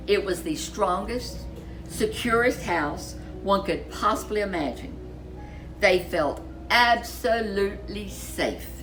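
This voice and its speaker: A woman reading a children's storybook aloud as a storyteller, with expressive, deliberate delivery and dramatic emphasis. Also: mid-range voice, low-quality recording.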